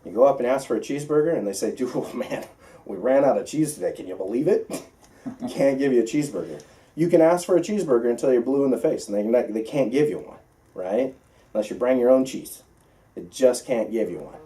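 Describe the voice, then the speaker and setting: Mellow American man teaching, distant mic. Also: medium pitch, animated, a little fast. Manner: conversational, emphatic, checks understanding with "right?". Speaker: flight instructor teaching pilots. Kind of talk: informal classroom lecture with questions.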